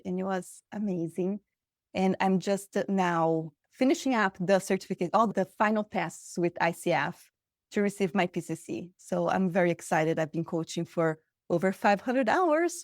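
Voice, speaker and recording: A woman from Brazil, Portuguese her first language, answers as a coach guest in her thirties. Her mid-pitched voice is earnest and animated, with rhetorical questions. The sound is dry and close. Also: no room sound. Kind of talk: interview answers.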